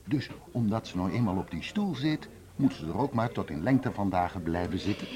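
A man's voice: fairly low pitch, expressive, fairly quick, a crowd in the background, close to an everyday voice. An actor playing an indignant, sarcastic male music teacher in a radio drama.

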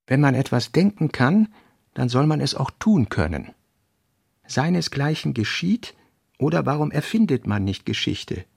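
A man with a husky voice playing a reflective writer, reading aphoristic notes in a literary radio play. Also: mid-range voice, sing-song, unhurried, darkish tone, no echo, clean studio sound, full character voice.

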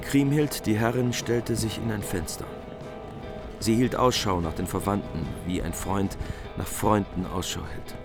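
A man's voice: fairly low pitch, steady tone, unhurried. A man delivering scripted literary narration in a radio play. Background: music.

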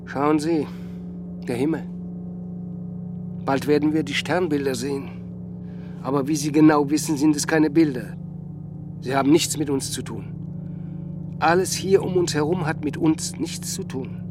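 An actor playing a renowned, aloof scientist in a radio drama, speaking formally and hesitantly, with condescension. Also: male voice, on the high side, fairly even intonation, unhurried, pauses often, lightly acted. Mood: coolly philosophical. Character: aloof, renowned male scientist, coolly dismissive. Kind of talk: German radio drama dialogue. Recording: music playing under the voice. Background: music.

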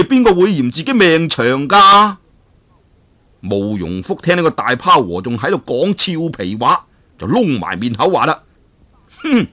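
A man from Hong Kong telling a martial arts tale in a dramatic, animated storyteller's manner. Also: on the high side. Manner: animated, dramatic, chuckling asides. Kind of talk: traditional Cantonese storytelling of a martial arts novel.

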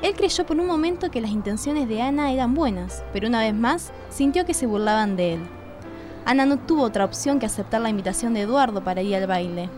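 Casting: Argentine female radio-drama narrator, highish voice, scripted storytelling over clear music. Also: animated, fairly quick.

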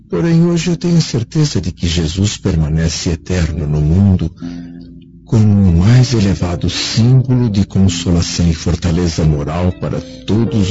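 Deep, slow, solemn old Roman senator. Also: male voice, warm tone. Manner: grave, declamatory, reflective. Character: aging blind Roman senator, solemn and reflective. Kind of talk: radio drama acting. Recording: dull lo-fi audio under music.

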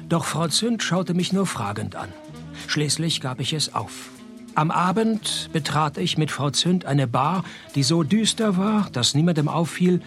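An actor playing a wry, sardonic private detective in a comic noir radio play, narrating and talking in deadpan. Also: male voice, fairly high pitch. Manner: deadpan, sarcastic. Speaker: narrator and lead character.

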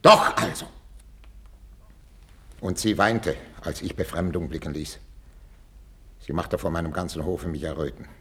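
An actor playing an aging, jealous and imperious king in a 1950s German radio drama, husky and declamatory. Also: extremely low pitch, a little slow, pauses often, exaggerated voice. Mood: outraged. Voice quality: gravelly, husky. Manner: declamatory, swinging between whispers and outcries. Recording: old 1950s radio recording.

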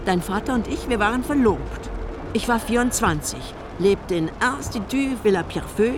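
A woman's voice: medium pitch, lively intonation, normal speed, close to an everyday voice. An actress playing a blunt, sardonic woman in her eighties, an eccentric wealthy mother, in radio play dialogue. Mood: reminiscing. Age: 80s. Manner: blunt, capricious, mocking, darkly amused. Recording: noisy moving car ambience.

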